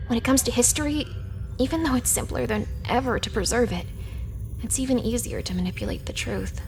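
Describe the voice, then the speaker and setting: A woman's voice: on the high side, animated, fairly quick, a little mellow, faint music behind. An actress playing a sardonic, teasing young female hacker in a sci-fi video game, speaking in a matter-of-fact way. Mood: grieving, guarded. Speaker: video game character actor. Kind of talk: scripted video game character dialogue.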